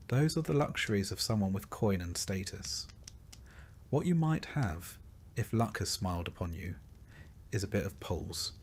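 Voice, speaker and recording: A man's voice: on the low side, a little mellow, a little hiss or hum. A man narrating a scripted story in the second person with a dry, wry delivery.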